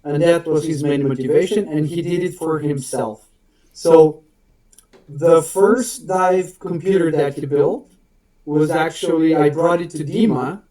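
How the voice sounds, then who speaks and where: A man's voice: a little high, a little slow, mellow. A man from Portugal with a Portuguese accent and Portuguese as his first language, giving a friendly, self-deprecating guest presentation and laughing easily. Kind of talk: online presentation. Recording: echoey room, distant from mic.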